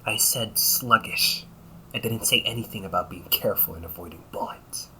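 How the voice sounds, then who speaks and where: Man playing a deep-voiced, sarcastic vampire brother. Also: normal speed, noisy background, close to an everyday voice. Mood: sarcastic, tired. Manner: sarcastic, grumbling, often chuckling. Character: cocky, weary, centuries-old male vampire brother, young-sounding. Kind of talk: audio roleplay, conversational one-sided dialogue.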